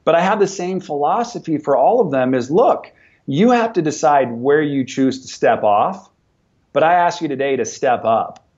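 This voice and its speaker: A man's voice: on the high side, moderate pace. Middle-aged businessman guest, upbeat and motivational, restarts his sentences, dull lo-fi recording. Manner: energetic, motivational, repeats words when starting sentences. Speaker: business owner and podcast guest. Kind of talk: conversational interview.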